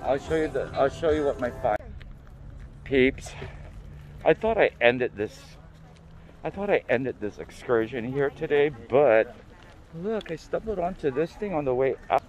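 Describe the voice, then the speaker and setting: A man's voice: medium pitch, very animated, unhurried, long pauses, rough recording. A man, talking casually to viewers as he walks through an outdoor flea market and filming on a phone. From Guam. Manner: chatty, self-amused, addresses viewers as peeps. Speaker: vlogger. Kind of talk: casual walk-and-talk vlog. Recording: outdoor market with loud music. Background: music playing.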